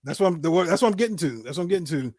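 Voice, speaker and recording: A man's voice: on the high side, lively intonation, rapid-fire, a little mellow, no echo, clean studio sound. A man in his forties hosting a casual talk show, speaking emphatically and repeating himself to drive points home. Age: 40s. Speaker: host.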